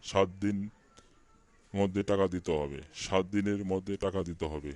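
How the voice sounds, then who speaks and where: A man's voice: deep voice, measured pace, a little mellow, pitched below the performer's own voice. A man voicing a greedy, treacherous talking tree in a children's cartoon, demanding and threatening.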